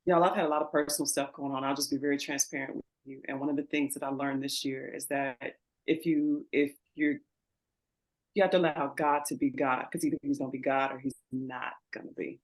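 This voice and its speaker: Woman dentist guest, rapid and emphatic delivery. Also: rumbling low, steady tone, poor audio. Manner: fast, forthright, emphatic. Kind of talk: casual panel chat.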